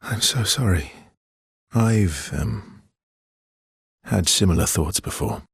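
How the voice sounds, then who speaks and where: An actor playing a brooding, gently teasing, guilt-worn man in a video game, with a husky, gravelly low voice and halting, understated delivery. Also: expressive, no echo, clean studio sound, heavily acted. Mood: somber, subdued. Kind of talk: naturalistic video game character dialogue.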